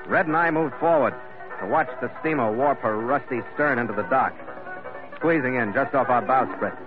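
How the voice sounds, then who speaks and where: An actor playing a hardy, wry sea captain, narrating in a hard-boiled, clipped manner as in a 1940s radio adventure. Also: male voice, medium pitch, moderate pace, natural voice. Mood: wry, storytelling. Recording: muffled old radio broadcast, loud music.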